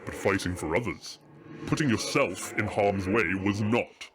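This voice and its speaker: A man's voice: on the low side, unhurried, noisy background, lightly acted. A man playing an effusive, theatrical ancient dragon king, a doting and teasing father, in an audio drama. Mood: fatherly, stately. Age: older.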